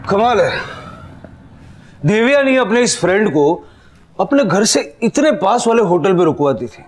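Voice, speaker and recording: An actor playing a police officer leading an investigation in a TV crime drama, terse, authoritative and skeptical. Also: male voice, high voice, sing-song, unhurried, exaggerated voice. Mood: brisk commanding. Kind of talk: Hindi TV crime serial acting. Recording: loud background music under dialogue.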